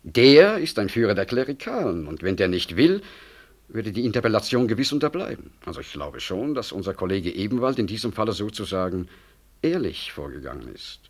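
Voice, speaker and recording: An actor playing a smooth, self-important politician and doctor in a radio drama, evasive and pompous. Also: male voice, on the low side, sing-song, brisk. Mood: measured, evasive. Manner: hedging, rhetorical, pompous. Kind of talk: 1960s German radio drama acting.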